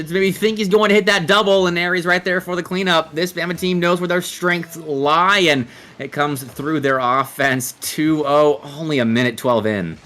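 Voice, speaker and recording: A man's voice: high-pitched, fairly bright tone. A man giving live analytical commentary on a video game match, explaining play in long sentences. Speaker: esports commentator, analyst caster.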